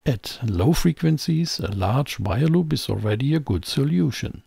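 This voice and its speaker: A man reading scripted technical narration as a tutorial presenter, in a measured, explanatory way. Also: medium pitch, lively intonation, gravelly, dark tone, slightly rough, dry recording, studio quality. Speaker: presenter of an electronics tutorial channel.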